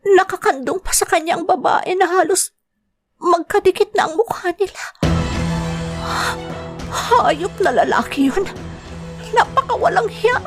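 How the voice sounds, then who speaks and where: A woman playing a young woman working abroad who is heartbroken, talking in an emotional, tearful way in an audio drama. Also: extremely high pitch. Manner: tearful, pleading, emotional outbursts. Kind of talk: Filipino audio drama acting. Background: soft music.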